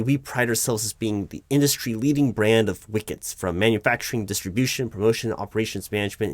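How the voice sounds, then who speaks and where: A man playing a nervous, polite recruiting manager in a job interview roleplay, speaking aloud in a halting, stammering, apologetic way. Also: fairly low pitch, dry recording, lightly acted. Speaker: roleplay performer. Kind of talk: one-sided job interview audio roleplay, spoken aloud.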